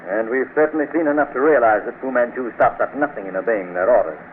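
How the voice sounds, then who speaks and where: An actor playing an earnest, inquisitive British doctor and loyal sidekick, exclamatory, in a 1930s radio drama. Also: male voice, mid-range voice, fast talker, close to an everyday voice. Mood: curious, earnest. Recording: tinny, noisy 1930s radio recording.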